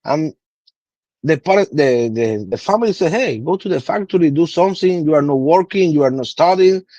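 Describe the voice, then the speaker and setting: A man's voice: on the high side, lively intonation, normal speed, dark tone, no room sound, dull audio. A man in his thirties from Cuba, with a Cuban Spanish accent and Spanish as his first language, explaining patiently in broken English in a casual interview. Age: 30s. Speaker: guest cigar blender.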